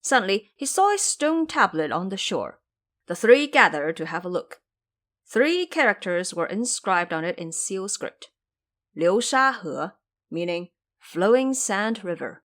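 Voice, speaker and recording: A woman narrating a scripted story with expressive, measured, dramatic storyteller delivery. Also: mid-range voice.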